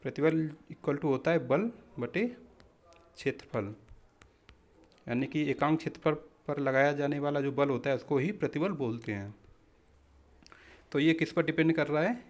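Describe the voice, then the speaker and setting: Higher-pitched man teaching a lesson. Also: moderate pace, long pauses. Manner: instructional, often addresses listeners as friends. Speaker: exam-prep physics teacher. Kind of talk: explaining practice questions in a lesson.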